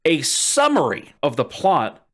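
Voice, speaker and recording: A man's voice: fairly high pitch, wildly swooping pitch, unhurried, a bit bright. A man hosting a podcast in casual storytelling chat, enthusiastic and emphatic, often doubling words for stress. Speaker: podcast host.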